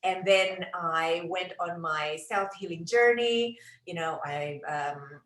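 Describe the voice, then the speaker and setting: A woman's voice: fairly low pitch, takes it slowly, a bit bright. A middle-aged woman with an Indonesian accent hosting a casual interview and chatting as a relationship coach. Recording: roomy, echoing sound.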